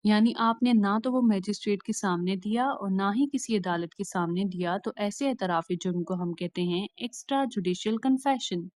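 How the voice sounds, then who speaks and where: A woman with a Pakistani accent giving an explanatory law lecture in a deliberate, instructional way, restating each point plainly. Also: mid-range voice, darkish tone, no room sound, studio quality. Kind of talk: explanatory lecture mixing Urdu and English.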